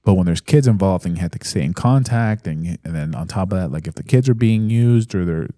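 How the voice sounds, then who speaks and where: A man in his thirties co-hosting a podcast in casual chat, reading listener comments aloud in a relaxed, hesitant way with fillers. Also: low-pitched, a little fast, no room sound, studio quality. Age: 30s. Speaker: podcast co-host.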